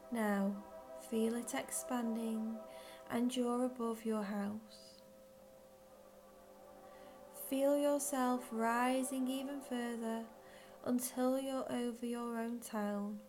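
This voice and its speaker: Slow, higher-voiced woman guiding a meditation. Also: steady tone, faint music behind. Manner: measured, gentle phrasing with long pauses. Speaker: guided meditation leader. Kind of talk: guided visualisation, slow scripted narration.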